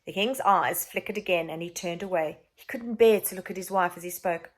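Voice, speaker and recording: A woman reading a children's book aloud as an expressive story narrator. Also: lowish voice, a little fast.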